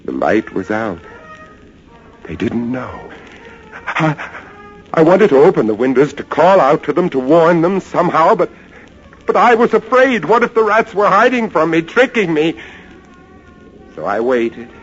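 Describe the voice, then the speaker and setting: An actor playing a haunted lighthouse keeper telling his tale in a dramatic, halting vintage radio drama style. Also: fairly high pitch, big pitch swings. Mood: uneasy wonder, then frightened. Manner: dramatic, halting, suspenseful storytelling. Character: haunted lighthouse keeper, a man, recounting a rat siege. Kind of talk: 1940s-50s radio drama narration. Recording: dull vintage radio recording, loud music behind.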